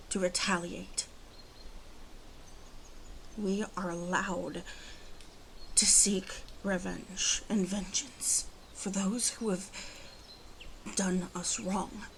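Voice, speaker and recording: A woman voicing a guarded, grieving female werewolf in an ASMR roleplay, halting and brooding, often dropping to breathy half-whispers. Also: medium pitch, slight background noise, rough recording. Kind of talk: ASMR roleplay monologue addressed to listener.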